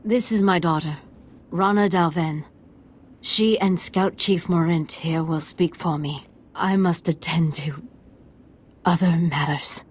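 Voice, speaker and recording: Low-pitched proud, weary matriarch. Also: female voice, steady tone, slow pace, slightly rough. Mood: weary, strained. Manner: sardonic, stately, weary. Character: proud, bitter, ailing female village matriarch. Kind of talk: video game dialogue acting.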